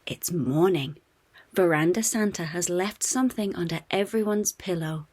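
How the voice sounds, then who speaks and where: A woman reading a children's storybook aloud in an expressive scripted narration for young listeners. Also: on the low side, normal speed, breathy edge.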